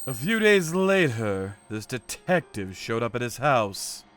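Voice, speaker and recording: A man giving dramatic first-person narration as a calm, righteous, menacing vigilante in an animated manga story. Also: mid-range voice, measured pace, faint music behind. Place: studio voice-over with music behind.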